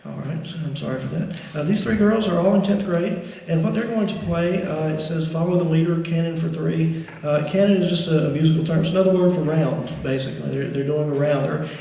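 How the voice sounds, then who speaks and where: Man, orchestra teacher, rambling, slightly high voice, big reverberant hall. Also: brisk, vocal fry, few pauses, mellow. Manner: rambling, many "uh" fillers and restarts. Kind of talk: introducing a school concert. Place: large school auditorium. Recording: echoey auditorium, faint noise.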